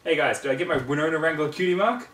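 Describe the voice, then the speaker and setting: A man voicing a brash, excitable young filly pony who bosses her club around in a storybook reading. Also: on the high side, fast, pitched above the performer's own voice, natural voice. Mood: playful. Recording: roomy, distant microphone in home room.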